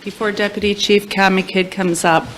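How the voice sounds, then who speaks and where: A woman moderating a press conference, making careful, gentle announcements with fillers. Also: medium pitch, flat delivery, normal speed, noisy background.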